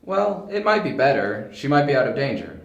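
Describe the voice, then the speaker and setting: A man's voice: medium pitch, very animated, normal speed, gravelly, muffled recording. A man playing a detective's skeptical, plain-spoken companion in an amateur audio drama. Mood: concerned. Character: young detective's male companion, skeptical, straightforward sidekick. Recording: echoey room.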